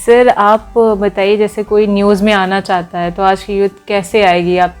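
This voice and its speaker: Woman podcast host, mid pitch, polite and deferential chat, bit of music under it. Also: flat delivery, moderate pace, smooth. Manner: deferential, often murmurs agreement. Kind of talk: conversational interviewing.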